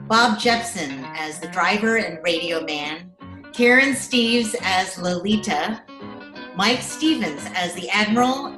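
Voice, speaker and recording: A woman announcer giving a scripted radio drama credits read. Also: mid-range voice. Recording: roomy home recording, music behind. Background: plucked guitar music.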